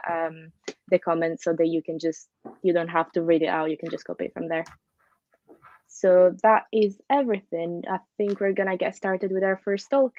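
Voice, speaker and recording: A young woman introducing the evening's schedule as organizer of an online meetup, in a friendly, relaxed way. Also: on the low side, no echo, highs cut off. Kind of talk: event introduction. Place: home room.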